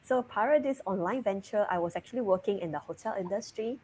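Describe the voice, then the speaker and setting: A woman in her thirties from Singapore with a Singaporean English accent, a guest chatting openly in an interview over a video call, often breaking into soft laughs mid-sentence. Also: mid-range voice, fast, a little hiss or hum, rough recording. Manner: laughs lightly mid-sentence, relaxed and open. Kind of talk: casual interview chat.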